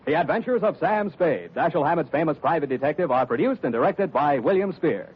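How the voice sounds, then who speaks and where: A man announcing commercials and credits for an old radio show, polished and emphatic, with a lively, swinging pitch and a slightly husky tone. The sound is muffled and vintage, with faint music underneath. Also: brisk. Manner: polished, emphatic salesman delivery.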